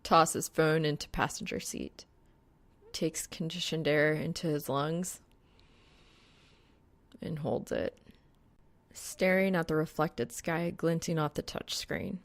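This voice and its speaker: Low, creaky-voiced woman narrator. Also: fairly even intonation, slow, pauses often, no room sound. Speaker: audiobook narrator. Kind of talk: scripted fan fiction narration.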